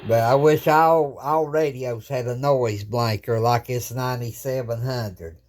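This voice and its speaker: Man, slow and drawn-out, mid-pitched, folksy rambling ham operator, home desk, phone camera. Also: fairly even intonation, a little mellow. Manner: rambling, folksy, plain-spoken with many restarts. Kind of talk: casual show-and-tell of radio gear. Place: home radio desk, indoors.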